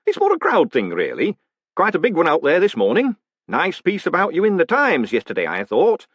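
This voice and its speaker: A man's voice: on the high side, huge pitch swings, fairly quick, slightly rough, no echo, dull audio, voice pushed up, heavily acted. A man voicing a friendly, obliging hangman who chats politely and calls everyone sir. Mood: cheery. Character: cheerful obliging male hangman.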